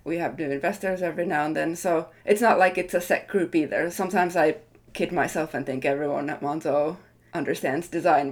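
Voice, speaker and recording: Low voiced woman getting interviewed about her work, thoughtful, hedges and qualifies stuff as she goes. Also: a little mellow. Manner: thoughtful, hedging, self-qualifying. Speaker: interview guest, tenured design leader. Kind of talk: reflective interview conversation.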